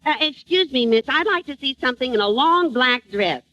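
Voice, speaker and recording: A woman's voice: sits high, lively intonation, a little fast, over music. An actress playing a naive, man-hungry young woman who puts on mysterious airs in an old-time radio comedy, with exaggerated swooning delivery. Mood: eager, chatty. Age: young adult. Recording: dull vintage radio recording, noisy studio audience.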